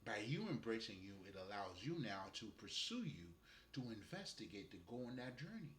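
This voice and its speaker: A man's voice: fairly low pitch, very animated, a little fast, a bit bright, echoey room, a little hiss or hum. A man with a Haitian accent talking loosely and profanely about spirituality, laughing often. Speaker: spiritual guide. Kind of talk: casual spiritual monologue.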